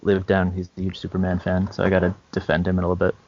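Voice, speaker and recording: A man co-hosting a podcast, talking casually in a halting, measured way with pauses. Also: deep voice, completely flat delivery, no echo, lo-fi sound. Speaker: podcast co-host. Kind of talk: casual chat about tabletop roleplaying and comics.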